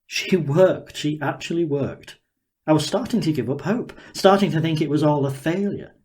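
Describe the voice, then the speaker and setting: A man voicing an excitable, sneering, slightly unhinged inventor in audiobook dialogue, taunting and full of questions. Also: fairly high pitch, normal speed, pitched above the performer's own voice, lightly acted. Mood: excited, triumphant.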